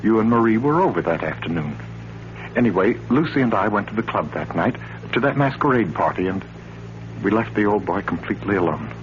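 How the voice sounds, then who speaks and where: An actor in a 1940s radio thriller playing a troubled, desperate man who confides in a halting, intense way. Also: lowish voice, expressive, a little hiss or hum, close to an everyday voice. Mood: troubled, confiding. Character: troubled man desperate to uncover his uncle's death. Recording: muffled old radio broadcast, music behind.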